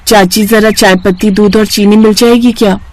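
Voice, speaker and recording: A woman's voice: medium pitch, fairly even intonation, moderate pace, darkish tone, close to an everyday voice, low-quality recording. A woman voicing a timid, polite young daughter-in-law who sounds troubled and embarrassed in a moral story. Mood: embarrassed, pleading.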